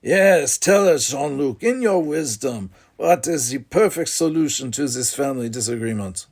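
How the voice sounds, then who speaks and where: A man voicing a bitter, resentful older brother in an audiobook, shrill and sarcastic. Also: expressive, moderate pace, a little mellow. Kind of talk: audiobook character voice.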